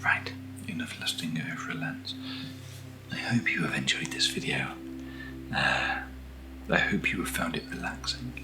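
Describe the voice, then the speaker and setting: A British man speaking softly and breathily in casual ASMR style, unhurried and gently rambling as he hosts. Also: extremely low pitch, animated, rough recording. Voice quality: breathy, whispery. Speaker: host of ASMR unboxing videos. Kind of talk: soft-spoken ASMR, casual chat. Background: underlying music.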